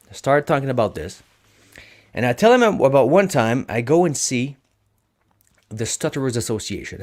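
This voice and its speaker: Man, lively pitch swings, energetic storytelling, podcast guest. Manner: energetic, animated storyteller who restarts sentences. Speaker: podcast guest, public speaking coach. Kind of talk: casual storytelling in an interview.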